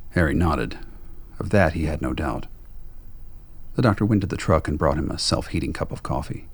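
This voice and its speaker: A man's voice: a bass voice, vocal fry. A man reading dramatic scripted narration for a radio play.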